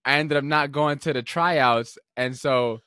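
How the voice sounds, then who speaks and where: A young man hosting a podcast, telling stories in a relaxed, rambling way with lots of filler words. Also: mid-range voice, a little slow, clear, resonant tone, no echo, studio quality. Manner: relaxed, rambling storyteller with many fillers and restarts. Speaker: podcast host. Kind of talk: casual podcast conversation.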